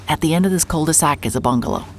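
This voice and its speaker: A woman's voice: sits low, racing, darkish tone, a little hiss or hum, lightly acted. A woman acting as a hard-hearted, wry and nervy private investigator in a cinematic audio drama, muttering to herself as she works. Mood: businesslike. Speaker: lead actor and narrator of an audio drama. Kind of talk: cinematic audio drama, first-person noir narration and case dictation.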